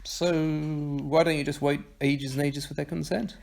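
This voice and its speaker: A man's voice: fairly high pitch, little pitch movement, unhurried, creaky, darkish tone. A man playing a sceptical, bemused young newcomer in an audio drama, speaking in a dry, hesitant, stammering way.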